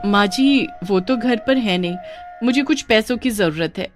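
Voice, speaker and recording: A woman's voice: a little high, unhurried, over music, lightly acted. A woman voicing a spoiled, haughty young wife in a story, pushy and bossy. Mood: demanding.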